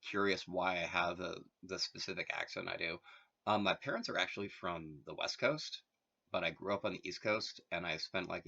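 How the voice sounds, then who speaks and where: A man's voice: deep voice, gravelly, pushed and bright, dull audio. A man from Massachusetts talking in a rambling, casual monologue, full of filler words and wry asides. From Massachusetts, USA. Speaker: vlogger.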